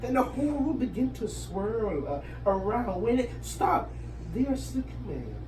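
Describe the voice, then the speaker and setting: Woman read-aloud storyteller, very lively intonation, recorded at a distance in an echoey, noisy room. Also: fairly high pitch, unhurried. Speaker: storyteller reading aloud to children. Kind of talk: expressive interactive picture-book read-aloud. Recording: roomy, noisy, distant microphone.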